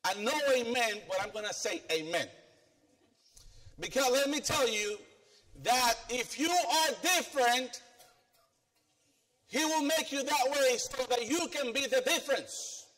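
A middle-aged man with a Filipino accent preaches an animated sermon, pacing the stage and putting questions to the congregation. His voice sits high for a man. It rings out with the long reverb of a church sanctuary, picked up by a stand mic he keeps moving away from. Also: a little slow, long pauses. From Philippines.

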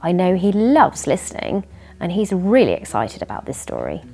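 A British woman hosts a children's story time. Her voice is lowish and a little husky, with animated, swooping intonation and an unhurried pace, over music. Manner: animated, addressing young listeners. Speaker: children's story reader and host. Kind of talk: expressive children's storybook reading. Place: furnished living room by a fireplace.

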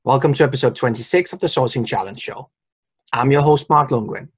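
A man hosting an interview show over a webcam call from a small indoor room. His voice has a slightly husky edge. He introduces the episode plainly and drops in short, interested prompts. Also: mid-range voice, fairly quick. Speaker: host. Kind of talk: podcast interview. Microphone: webcam on a video call.

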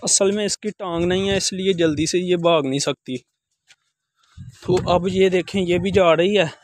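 A man's voice: high voice, steady tone, normal speed, no echo. A young man, casually narrating chores in a village courtyard while filming himself on a phone held at arm's length. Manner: chatty, repeats filler phrases, addresses viewers as guys. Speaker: village life vlogger. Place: outdoor village courtyard with poultry.

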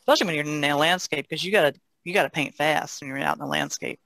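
Older lady painter chatting on a podcast, gravelly edge, very dry close sound. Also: low-pitched, fast talker, fairly bright tone, no room sound. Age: older, about 60s. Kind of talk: casual explanatory chat.

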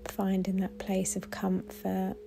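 A woman guiding a body scan meditation in a gentle, soothing, unhurried way with long pauses. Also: medium pitch, monotone, vocal fry, slight background noise. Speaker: meditation guide.

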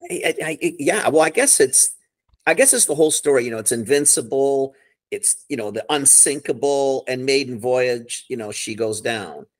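A man's voice: mid-range voice, lively intonation, normal speed, no room sound. A middle-aged man chatting casually and enthusiastically on a video call, with a rambling way of talking. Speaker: co-host. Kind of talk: casual chat. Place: living room, seated on a sofa.

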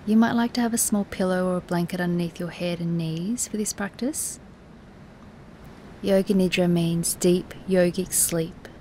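A woman teaching guided relaxation in a soothing, soft, instructive way, with breathy, near-whispered moments and long pauses. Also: lowish voice, steady tone, a little hiss or hum. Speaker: yoga nidra meditation teacher.

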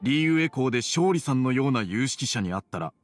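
A man's voice: medium pitch, expressive, fairly quick, faint music behind, natural voice. An actor playing a middle-aged tea merchant and father in a Japanese game, speaking with polite, dignified formality. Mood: courteous.

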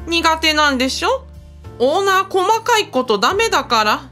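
A woman's voice: very high voice, sing-song, normal speed, sharp tone, faint music behind, heavily acted. An actress in a radio drama playing a composed female aide with polite, refined phrasing. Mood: knowing, teasing.

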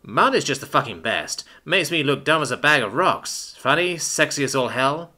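A man voicing a huge, boisterous young man who talks loudly and eagerly and jokes crudely. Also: fairly high pitch, sing-song, moderate pace, soft background music, pitched above the performer's own voice, full character voice. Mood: excited. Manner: loud, eager, crude joking.

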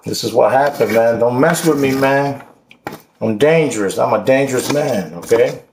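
A man's voice: medium pitch, normal speed, darkish tone. Boastful joking man in a noisy kitchen. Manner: boastful, joking, streetwise slang. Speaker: home cooking vlogger. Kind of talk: casual cooking vlog with banter. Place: home kitchen, indoors. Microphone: camera at counter level. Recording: noisy kitchen with chopping clatter.

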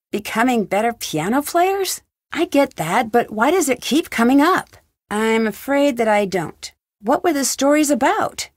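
Curious young girl detective in a video game, kinda high voice, super expressive, bit wry. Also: no room sound, clean studio sound. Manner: inquisitive, crisp, lightly wry. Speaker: video game lead character. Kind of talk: video game dialogue, separate questions and replies.

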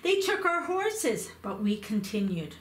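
A woman voicing a wise, alert young knight who calmly recounts his journey. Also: a little high, a little slow. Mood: recounting. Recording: echoey room.

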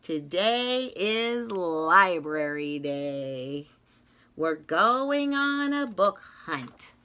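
A middle-aged woman reading a children's picture book aloud like a teacher, in an expressive, rhythmic sing-song manner with drawn-out phrases. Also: mid-range voice. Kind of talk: read-aloud of a children's story. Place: small indoor classroom-style room.

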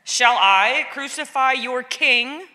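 A woman playing forceful, insistent Roman-era figures in a dramatic scripture reading, with emphatic, declamatory delivery. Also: high-pitched, slow, exaggerated voice. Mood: commanding, questioning. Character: Roman governor and clamoring crowd, forceful and insistent. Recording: long echoing hall reverb.

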